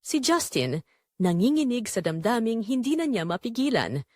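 A woman's voice: a little high, sing-song, normal speed, darkish tone, no echo. A woman narrating a scripted dramatic story.

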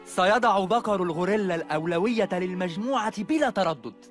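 Proud challenger gorilla for cartoon dub, played by a man: quick, high-pitched, confrontational, over clear music. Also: lightly acted. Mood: defiant. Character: proud, defiant adult male gorilla challenging the leader. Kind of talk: Arabic cartoon dubbing. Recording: dramatic music behind the voice.

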